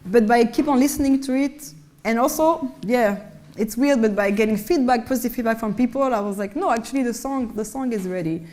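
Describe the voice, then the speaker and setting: A woman artist with a low voice, talking casually on a panel about her work, often restarting words. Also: fairly quick, a little mellow. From Mali and France. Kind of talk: casual conversational talk.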